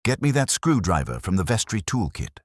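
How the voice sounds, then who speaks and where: A man voicing a courteous, incisive police inspector in a mystery story, measured and decisive. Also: lowish voice, big pitch swings, dry recording, studio quality, voice pushed up.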